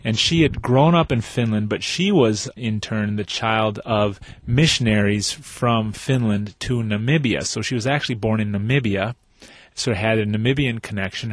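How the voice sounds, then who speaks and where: A man's voice: lowish voice, dry recording. A man in his sixties from the United States, a guest telling his family history in an easygoing, plain-spoken way. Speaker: guest activist. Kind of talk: conversational interview.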